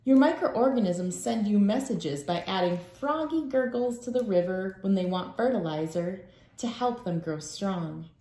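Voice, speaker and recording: A woman in her thirties reading a children's book aloud at home in a measured storytelling way. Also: mid-range voice, animated, dark tone. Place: small room at home, indoors. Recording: echoey room.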